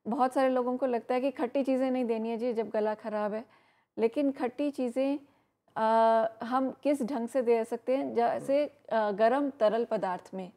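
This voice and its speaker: A woman giving health advice on an educational program, explaining steadily with frequent pauses and fillers. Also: fairly high pitch, warm tone. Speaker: health expert guest. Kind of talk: educational talk on live program.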